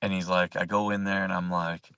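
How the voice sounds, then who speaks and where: A man's voice: low voice, little pitch movement, brisk, pushed and bright, smooth, dry recording, studio quality, recorded from a distance. A man hosting a casual live chat show, rambling, chuckling often and answering viewer comments as he goes. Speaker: host.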